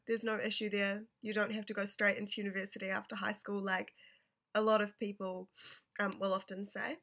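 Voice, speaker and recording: A woman's voice: medium pitch, a bit bright. A woman in her twenties with a nasal voice from a cold, giving rambling vlog advice with lots of ums, on a webcam in a small bedroom. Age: 20s. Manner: rambling, many ums and restarts. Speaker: registered nurse vlogger. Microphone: webcam at close range.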